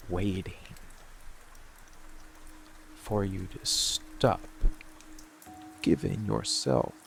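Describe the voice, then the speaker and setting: Male actor as dark monster lover, very slow, heavy vocal fry, breathy whispers, possessive, seductive, intimate ASMR roleplay. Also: fairly low pitch, slight background noise, heavily acted. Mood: brooding, intimate. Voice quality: breathy, gravelly, often whispered. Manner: slow, halting, lingering delivery with sighs. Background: rain and music.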